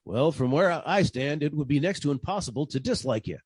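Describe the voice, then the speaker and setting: An actor playing a wry, deadpan private detective narrating his caper in 1940s radio style. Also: a little high, a little fast, breathy edge, no room sound, clean studio sound. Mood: dry, polite. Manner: deadpan, wisecracking.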